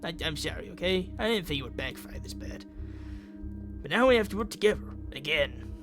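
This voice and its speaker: A man's voice: on the high side, animated, a little fast, over music. A man playing a guilt-ridden, desperate teenage friend in a visual novel game, earnest and pleading. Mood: apologetic, determined.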